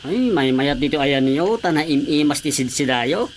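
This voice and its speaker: A man's voice: on the high side, animated, moderate pace, a little hiss or hum, natural voice. A man acting as a cheeky, eager, teasing visiting cousin in a comedy radio drama.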